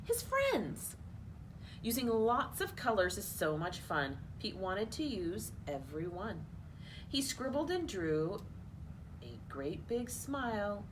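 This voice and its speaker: A woman hosting a children's storytime, reading a picture book aloud in an expressive, playful way. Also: medium pitch, takes it slowly, noisy background. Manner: animated, expressive, playful asides. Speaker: children's storytime host at a library.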